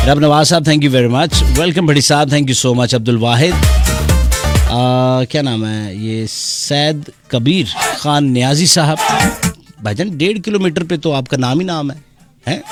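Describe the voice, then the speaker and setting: A Pakistani man hosting a live radio show, chatting playfully, reading out listeners' messages and switching into English phrases. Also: on the high side. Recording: loud music behind the voice. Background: music.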